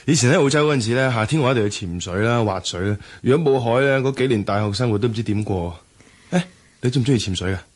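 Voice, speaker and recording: A man's voice: mid-range voice, fast talker, lightly acted. An actor playing a breezy, forward young man who is back from Australia, in a Cantonese radio drama. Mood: cheerful, friendly. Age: young adult.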